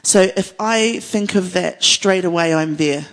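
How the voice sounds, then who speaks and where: A woman's voice: lowish voice, measured pace. A woman with a New Zealand accent speaking off the cuff as a worship leader, earnest and conversational with playful asides. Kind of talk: unscripted panel conversation about faith. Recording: echoey hall with long reverb.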